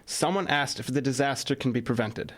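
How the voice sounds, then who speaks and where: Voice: medium pitch, brisk, natural voice. An actor playing a helpless oracle compelled to answer questions in an audio drama. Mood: helpless, resigned.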